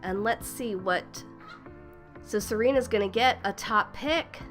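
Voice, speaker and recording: A woman's voice: mid-range voice, unhurried, a bit bright, faint music behind. A woman hosting a casual livestream, slightly stuffy from a cold, chatty and upbeat, greeting viewers by name and laughing often. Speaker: livestream host.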